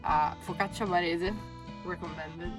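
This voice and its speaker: A young woman from Italy with an Italian accent, chatting casually in a travel vlog, halting and laughing often. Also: lowish voice, steady tone, over music. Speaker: vlogger.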